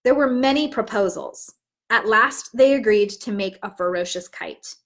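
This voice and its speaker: A woman teaching in an instructive, expressive way, as if demonstrating how to read a storybook aloud. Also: medium pitch, normal speed. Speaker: teacher trainer.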